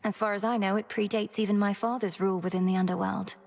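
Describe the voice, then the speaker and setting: A woman's voice: medium pitch, steady tone, quick pace, gravelly, a little mellow, soft background music. A woman voicing an earnest young witch princess who speaks formally and politely to her elders.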